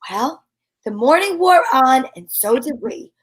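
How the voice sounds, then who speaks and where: A woman playing a wisecracking amateur sleuth in an old-time radio play, narrating briskly in first person. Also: high voice, lively intonation, husky, poor audio.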